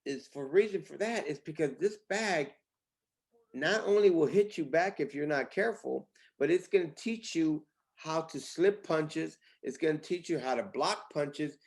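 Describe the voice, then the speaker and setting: A man speaking as a company boss in a casual interview, pitching with emphatic, repetitive phrasing. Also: a little slow, gravelly. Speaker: company CEO, interview guest.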